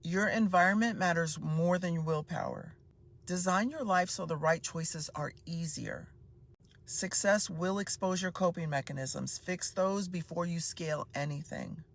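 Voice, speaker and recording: A speaker in their fifties, heard in a played clip, delivering a steady monologue of short life maxims. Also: mid-range voice, measured pace. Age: 50s. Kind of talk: measured advice monologue.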